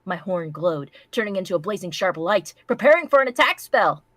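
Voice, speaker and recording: A woman's voice: medium pitch, sing-song, a little fast, dry recording. A woman giving a playful, theatrical amateur live reading of a story, close to the microphone. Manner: theatrical, foul-mouthed, playful.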